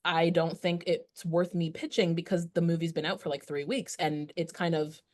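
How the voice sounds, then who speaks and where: A low-pitched woman in her twenties chats as a podcast guest. She speaks reflectively and unhurriedly, letting thoughts trail off with fillers. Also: level tone, normal speed, a little mellow. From Canada. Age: 20s. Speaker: guest TV critic. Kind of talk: casual podcast chat.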